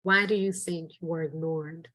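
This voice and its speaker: A middle-aged woman, an educator, reading a training presentation in a steady, earnest, instructive way. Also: low-pitched, normal speed. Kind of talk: scripted training narration.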